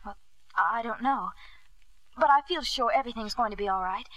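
Woman playing vulnerable proud young wife, bright highish voice, hesitant, polite, dry studio sound, old radio drama. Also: normal speed, no echo, lightly acted, low-quality recording. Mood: hurt, hopeful. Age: young adult. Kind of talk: 1950s radio drama acting.

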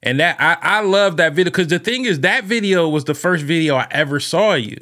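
A man's voice: on the high side, lively intonation, a little fast, bright, forceful tone. A man from Ohio hosting a casual interview, talking loosely with lots of fillers. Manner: loose and rambling, many fillers. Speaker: podcast host.

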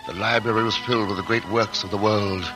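A man's voice: lowish voice, fairly even intonation, fast, poor audio. A man narrating and acting the lead in a 1940s radio drama, earnest and polished. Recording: old radio broadcast with music.

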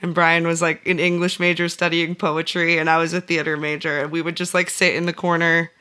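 A woman's voice: on the low side, little pitch movement, a little fast, sharp tone, clear, resonant tone. A woman with an American accent chatting casually as a podcast host. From central Illinois, United States. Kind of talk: casual chat.

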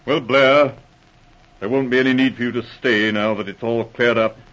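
An actor playing a blustering, pompous, indignant army colonel in a 1940s radio drama. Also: male voice, mid-range voice, steady tone, normal speed, fairly bright tone, lightly acted. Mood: hearty, smug. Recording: muffled old radio recording.

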